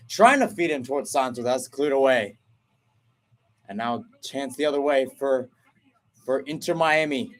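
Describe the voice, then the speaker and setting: Excited young male soccer play-by-play caller. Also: on the high side. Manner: brisk excited calling with exclamations. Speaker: play-by-play commentator. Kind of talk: radio-style soccer play-by-play. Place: remote broadcast from home setup.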